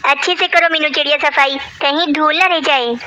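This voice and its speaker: A woman's voice: high voice, fairly even intonation, brisk, noisy background, natural voice. A woman voicing an ill-tempered, bossy female crow in a cartoon, scolding and threatening. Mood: impatient, scolding. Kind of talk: Hindi cartoon voice acting.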